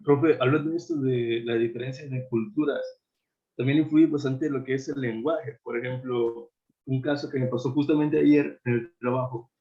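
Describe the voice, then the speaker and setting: A man's voice: medium pitch, fairly even intonation, normal speed, muffled recording. A man from Italy, a student, telling a workplace anecdote hesitantly, with restarts.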